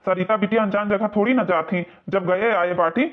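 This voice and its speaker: A man's voice: high-pitched, fairly even intonation, a little fast, lightly acted. A man voicing a proud, reassuring father of the groom with an Awadhi accent in a cartoon. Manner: reassuring, boastful. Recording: muffled lo-fi sound.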